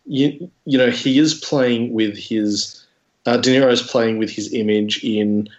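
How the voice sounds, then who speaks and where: A man's voice: mid-range voice, fairly even intonation, slow pace. Man, slightly muffled, casual film talk. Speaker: podcast guest.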